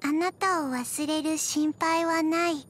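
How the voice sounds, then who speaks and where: Slow, high-voiced, deadpan undead little girl. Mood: flat, drowsy. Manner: halting, deadpan, soft-spoken with frequent pauses. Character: small undead zombie girl, gentle, forgetful, emotionless. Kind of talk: scripted video game character voice lines.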